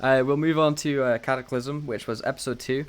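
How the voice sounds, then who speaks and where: A man's voice: medium pitch, fairly even intonation, a little fast, clear, resonant tone. A man co-hosting a podcast in casual, joking review chat, with a self-deprecating manner. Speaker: podcast co-host.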